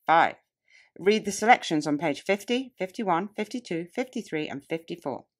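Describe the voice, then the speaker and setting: Give me a woman with a slightly low voice reading a textbook aloud, deliberate and emphatic. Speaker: audiobook reader. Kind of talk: scripted narration of a public-speaking textbook.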